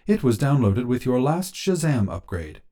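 A man's voice: mid-range voice, sing-song, normal speed, warm tone, lightly acted. A man voicing a polite female phone AI assistant in a story, scripted and helpful. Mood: informative.

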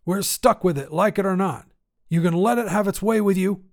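A grumbling, folksy old grandpa acted out by a male narrator for a short story. His voice sits high and he speaks with emphatic, cranky conviction, like a tinkerer who thinks everything is falling apart. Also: moderate pace. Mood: defiant. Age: older. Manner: folksy, grumbling, emphatic, rural turns of phrase. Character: cantankerous old grandfather tinkerer, obsessed with decay and repair. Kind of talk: acted character dialogue in short fiction reading.

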